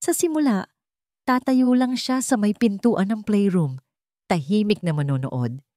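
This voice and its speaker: A woman narrating a scripted love story in a sentimental, dramatic manner with deliberate phrasing. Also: mid-range voice, lively intonation, dark tone, dry recording, clean studio sound.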